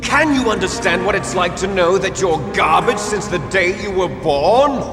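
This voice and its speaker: An actor playing a bitter, gloating villain twin with grand ambitions in a video game, theatrical and taunting. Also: male voice, sits high, expressive, moderate pace. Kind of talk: dramatic video game voice acting. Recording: echoey hall reverb under loud music.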